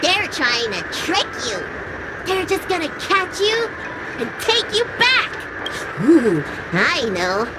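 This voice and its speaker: A woman's voice: high-pitched, sing-song, takes it slowly, very noisy, heavily acted. A woman voicing a mischievous, childlike spirit in an anime-style game, teasing, bratty and possessive of its friend. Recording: game music behind the voice.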